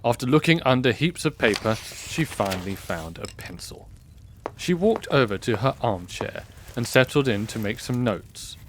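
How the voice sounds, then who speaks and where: A man narrating a scripted fantasy audio drama in a measured, expressive storybook style. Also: mid-range voice, slight background noise. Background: music.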